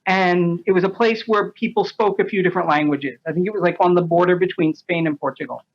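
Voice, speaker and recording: Male interview guest, casual storyteller with a thoughtful, hesitant delivery. Dull, low-fidelity recording. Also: steady tone, quick pace. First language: English. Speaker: podcast guest, translator. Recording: dull lo-fi audio.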